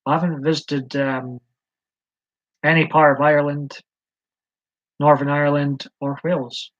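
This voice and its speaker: Man from scotland, rambling live-stream chat, fairly high voice, dull lo-fi audio. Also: level tone, a little slow. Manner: rambling, hesitant, frequent ums.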